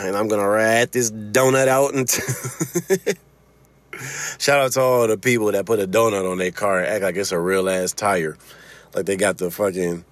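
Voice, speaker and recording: A podcast host with a Chicago accent rambles through a loose, profane monologue while sitting in his car. His voice sits on the low side for a man, and he laughs often and swears freely. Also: a bit bright, no echo. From Chicago, USA. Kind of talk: casual solo podcast monologue.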